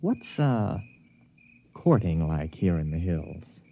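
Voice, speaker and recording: A man's voice: low-pitched, big pitch swings, slow, full character voice. An actor playing a scheming, skeptical educated man in a radio drama, with an American accent and a stammering, insistent delivery. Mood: awkward, hushed. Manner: stammering, insistent, swings from hushed to exclaiming. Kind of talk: 1950s radio drama acting. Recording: dry, dull vintage radio recording.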